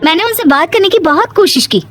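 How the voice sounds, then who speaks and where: A woman's voice: very high voice, lively intonation, fast, a bit bright, soft background music, pitched above the performer's own voice. A woman voicing a lovestruck young adult daughter in a cartoon moral story, pleading emotionally.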